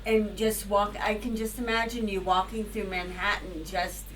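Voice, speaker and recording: A woman asking a question in a slow, wondering way. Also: mid-range voice, little pitch movement, fairly bright tone. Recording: distant voice, faint ambient noise.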